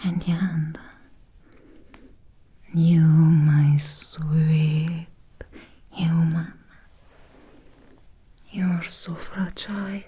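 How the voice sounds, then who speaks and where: A woman playing an obsessed, seductive Victorian vampire in an ASMR roleplay, whispering slowly and intimately with tender menace. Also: low-pitched, level tone, a little hiss or hum. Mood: obsessed, hungry. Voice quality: breathy soft whisper. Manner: slow, deliberate, intimate, teasing with drawn-out pauses.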